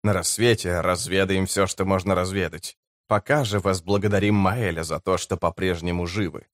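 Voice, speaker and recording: A man's voice: sits low, steady tone, normal speed, no room sound, studio quality, voice pushed down, close to an everyday voice. A man voicing an oily slave trader, a mocking, haughty villain in an audiobook. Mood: haughty, sneering.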